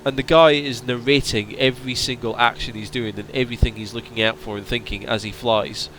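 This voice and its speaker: A man streaming a flight simulator, chatting casually and answering viewers' questions while his voice starts to strain and go hoarse. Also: medium pitch, fairly even intonation, a little fast, fairly bright tone, slight background noise. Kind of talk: casual livestream chat.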